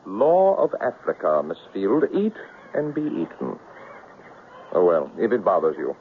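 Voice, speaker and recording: Low-voiced, suave big-game hunter. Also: male voice, huge pitch swings, a little slow, heavily acted. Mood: cool bravado. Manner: nonchalant, clipped, unflappable. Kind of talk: 1970s radio drama acting. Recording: tinny, noisy 1970s radio recording.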